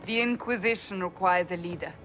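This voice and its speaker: A stern, devoted female warrior played by a woman speaks in a forceful, bright voice. She is solemn and emphatic, giving counsel in a fantasy game with faint music behind her. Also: fairly low pitch, brisk, close to an everyday voice. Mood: solemn, earnest. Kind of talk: dramatic fantasy game dialogue.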